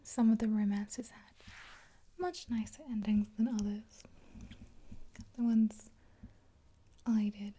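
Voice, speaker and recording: A woman in her twenties whispering gently and unhurriedly in a casual, rambling ASMR roleplay, close to the mic. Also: medium pitch, fairly even intonation, slow pace, long pauses. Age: 20s. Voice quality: breathy whisper. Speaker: ASMR roleplay performer as makeup artist. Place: small indoor room, dark backdrop.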